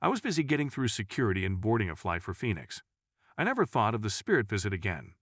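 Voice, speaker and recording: Low-voiced man narrating, lively intonation. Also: fast, no room sound, clean studio sound. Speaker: narrator of paranormal stories. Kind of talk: scripted first-person story narration.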